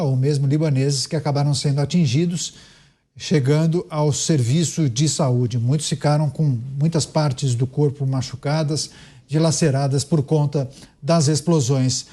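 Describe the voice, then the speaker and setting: A man's voice: a little high, warm tone. A Brazilian man hosting a news show, reading reports and moderating a panel.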